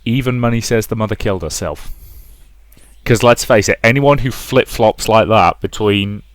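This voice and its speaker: Low-pitched man narrating a visual novel. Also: moderate pace, no echo. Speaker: let's play host.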